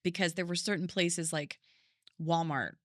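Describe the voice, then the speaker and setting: A woman's voice: fairly low pitch, steady tone, moderate pace, no echo, clean studio sound. A middle-aged woman, a singer and vocal coach, thoughtfully telling her story as a podcast guest in long, winding sentences. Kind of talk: reflective conversational storytelling.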